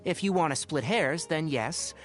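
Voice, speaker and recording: A man voicing a young fox-eared forest ranger in a video game, a scholarly mentor who talks in an articulate, wry, gently lecturing way. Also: a little high, expressive, moderate pace, lightly acted. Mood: wistful, dry. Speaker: video game character voice actor. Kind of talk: game character voice lines, conversational monologue. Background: background music.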